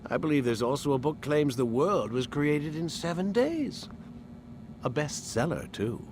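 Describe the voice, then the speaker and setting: A patronizing male scientist who holds the upper hand in a video game scene. His articulate, sardonic speech swings widely in pitch, over clear background noise and a low rumble. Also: animated, measured pace. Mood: scornful lecturing. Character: condescending, smug male scientist and captor. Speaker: game voice actor. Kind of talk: dramatic game cutscene dialogue.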